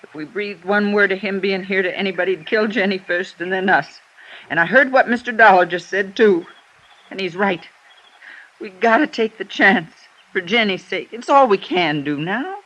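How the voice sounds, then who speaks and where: A woman acts a worried but determined middle-aged mother. Her voice is sharp and tense, urgent and plain-spoken, on a muffled old radio drama recording. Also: medium pitch, moderate pace, lightly acted. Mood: resolute, tense. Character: resolute, worried mother of a kidnapped girl.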